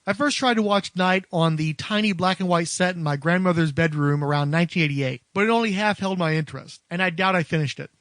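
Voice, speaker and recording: A man with a notably high voice narrates a scripted film retrospective as a podcast host. His phrasing is wordy and literate, and the recording is close and dry. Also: fairly bright tone, no room sound.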